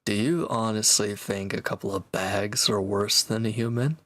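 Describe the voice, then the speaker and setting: Guy voicing a cocky reformed villain for audio roleplay, crisp bright edge, really dry close sound, teasing you one on one. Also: fairly low pitch, normal speed, no echo, close to an everyday voice. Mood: smug, teasing. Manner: cocky, teasing, talking directly to one listener. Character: proud, teasing reformed male villain, a battle-hardened swordsman. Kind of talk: scripted audio roleplay, intimate one-sided dialogue.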